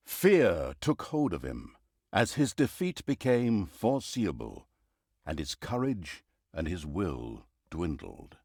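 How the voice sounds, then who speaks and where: Wry, mocking storyteller for fantasy game narration: theatrical, wildly varied intonation, mid-pitched, dry studio sound. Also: male voice, dry recording.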